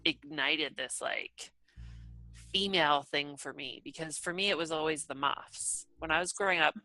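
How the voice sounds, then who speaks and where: A woman's voice: low voice, moderate pace, bright, forceful tone, no room sound, faint music behind, poor audio. A young woman hosting a podcast, talking animatedly with lots of "like" and the odd swear word. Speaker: podcast host. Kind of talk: casual chat.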